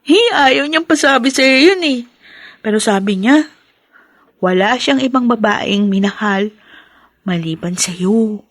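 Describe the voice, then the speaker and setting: A woman playing an affectionate, playful young daughter in a radio drama, with sweet, expressive delivery. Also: a little high, unhurried, long pauses, dry recording, studio quality. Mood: playful, teasing. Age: young adult.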